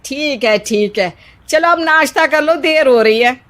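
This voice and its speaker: Woman playing a high-voiced nagging older wife. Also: expressive, a little slow, fairly bright tone. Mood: exasperated. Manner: scolding, homely, colloquial. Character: nagging, sharp-witted older wife and grandmother. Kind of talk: dramatized Hindi moral story. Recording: music behind the voice. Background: music.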